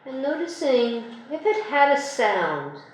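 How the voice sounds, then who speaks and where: Female meditation guide. Gentle, unhurried, long pauses. Very reverberant room, faint noise. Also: high voice, big pitch swings. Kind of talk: guided meditation, soothing slow instruction.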